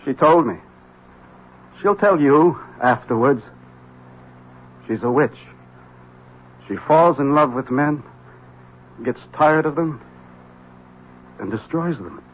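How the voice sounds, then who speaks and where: A man's voice: a little high, animated, takes it slowly, pauses often, breathy edge, heavily acted. An actor playing a haunted, desperate man who urgently warns a rival, in a 1970s radio drama. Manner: tense, urgent, bursts of agitation. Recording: dull 1970s radio broadcast.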